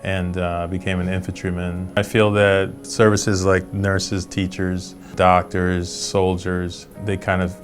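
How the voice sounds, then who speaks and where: Deep, monotone man from Guyana. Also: takes it slowly, few pauses. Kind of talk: personal testimonial. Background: faint music.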